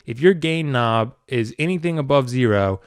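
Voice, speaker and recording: Male tutorial presenter, informal and conversational, crisp tone, dry recording. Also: medium pitch, animated, normal speed, dry recording. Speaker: tutorial video maker. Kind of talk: casual hands-on app tutorial.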